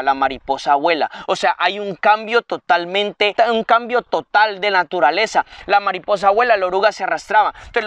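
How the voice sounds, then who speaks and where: A man preaching a sermon, teaching from the Bible and slowing down to quote verses, with repeated phrases that build up. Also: high-pitched, fairly bright tone, clear, resonant tone, no echo, far from the mic. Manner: explains word by word, slows to quote scripture.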